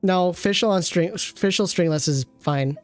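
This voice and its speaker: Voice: medium pitch, moderate pace. A game streamer reviewing an account with casual commentary, thinking aloud and often trailing off mid-thought.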